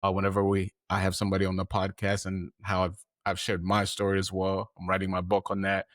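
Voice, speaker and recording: A man's voice: deep voice, monotone, a little fast, a bit bright, no echo, studio quality. A man hosting a heartfelt interview podcast, talking earnestly and rambling with fillers. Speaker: podcast host.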